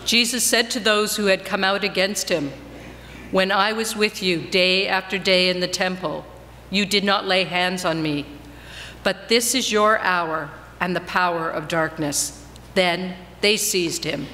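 Bright-voiced woman reading scripture in a reverberant church. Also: fairly low pitch, unhurried. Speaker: reader in a church Passion reading. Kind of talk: solemn dramatic scripture reading. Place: church, before a congregation. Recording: reverberant church hall, faint background noise.

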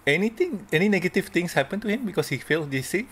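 A man's voice: sits high, very animated, fast talker, fairly bright tone, husky. A man playing in an online tabletop game, teasing the others. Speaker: player.

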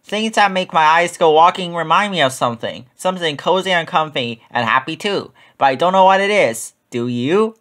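Man voicing an earnest little gosling, lively pitch. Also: moderate pace, few pauses. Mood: earnest, curious.

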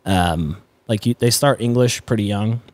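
A man in his twenties from the Netherlands, a native Dutch speaker, chatting casually and haltingly as a podcast guest. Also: on the low side, darkish tone, dry recording. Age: 20s. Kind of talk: casual conversational storytelling. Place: indoors, garage-like studio. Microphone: close podcast mic on boom arm.

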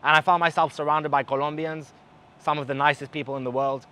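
A man's voice: fairly high pitch, quick pace, smooth. A young man with a mixed English accent, partly South African, talking casually and animatedly to the camera on an outdoor balcony at night. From Israel. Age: young adult. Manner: animated, gestures with his hands. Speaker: travel vlogger.

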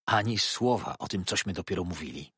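A man voicing a kindly, simple-minded old eccentric who talks in an earnest, confiding and excited way. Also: sits low, fairly quick, breathy edge, dry recording, studio quality, heavily acted. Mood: excited, eager.

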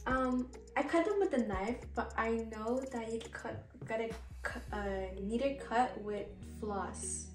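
A young woman demonstrating a bake, explaining each step plainly in a relaxed way. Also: on the high side, measured pace, faint music behind. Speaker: guest cook. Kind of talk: casual kitchen chat. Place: home kitchen. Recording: distant voice in echoey kitchen.

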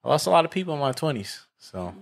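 A man's voice: a little high, sing-song, fast talker, creaky. A man in his early thirties hosting a podcast, talking in laid-back, slangy banter while a little tipsy. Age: 30s. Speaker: podcast host. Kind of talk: casual chat.

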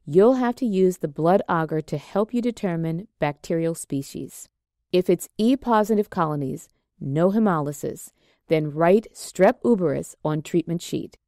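A woman reads a scripted lab walkthrough in a steady, measured way, one step at a time. Her voice is fairly low and mellow. The recording is dry and close, with no room sound. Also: no room sound. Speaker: extension educator. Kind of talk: scripted instructional narration.

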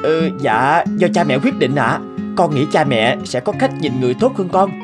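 An actor playing a timid, dutiful young son in an animated folk tale, with a hesitant, stammering manner. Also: male voice, a little high, moderate pace, music in the background, natural voice. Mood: sheepish.

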